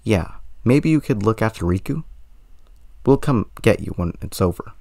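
A man's voice: lowish voice, expressive, moderate pace, creaky, darkish tone, soft background music. A man playing an earnest, kind-hearted teenage boy in a fan dub of a game cutscene, sincere and reassuring. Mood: upbeat, sincere.

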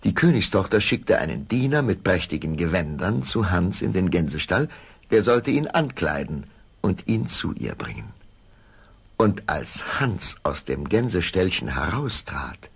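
A man's voice: low-pitched, vocal fry, fairly bright tone, breathy edge. A man narrating a scripted fairy tale as a storyteller.

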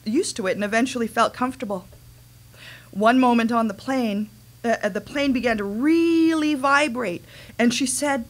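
A wounded, resentful grown daughter, read on stage by a woman confronts her mother. Her voice sits fairly high. She speaks earnestly and haltingly, as in a dramatic reading. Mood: earnest storytelling. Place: small dimly lit stage venue. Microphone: microphone on a stand.

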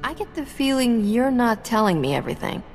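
A woman's voice: fairly high pitch, very animated, a little fast. An actress playing a sassy, tough female smuggler captain in a video game, with a sardonic, wisecracking manner. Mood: wary, tough. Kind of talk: video game cutscene acting. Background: loud music.

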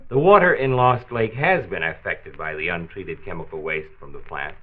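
A genial health commissioner in a 1940s radio play. His delivery is lively and varied, patient and avuncular as he explains. The sound is narrow and tinny, from an old transcription disc. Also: deep voice, moderate pace. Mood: serious, patient. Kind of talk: 1940s radio drama acting.

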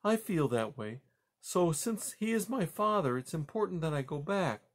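A man plays an anxious, gentle mother mouse from a children's storybook. The voice sits high and swings up and down. The manner is polite, earnest and a little hurt. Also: sing-song, normal speed.

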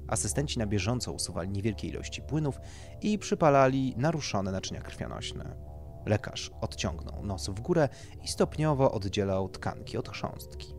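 A man reads a horror story as a scripted narration. His voice sits on the low side and moves widely in pitch from phrase to phrase. Faint music plays behind him. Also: darkish tone. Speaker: narrator, audiobook reader.

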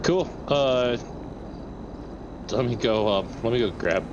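A man acts as a genial deputy with a mid-pitched voice in a game role-play. He is casual, joking and a little hesitant, with clear vehicle noise in the background. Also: expressive, slow pace, dull audio. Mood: businesslike, friendly. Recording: noisy game voice chat, vehicle ambience.

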